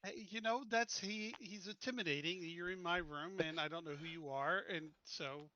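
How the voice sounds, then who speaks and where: A man taking part in a tabletop game, chatting casually and describing his character's actions in an informal, halting way. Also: sits high, bright, forceful tone, dull audio.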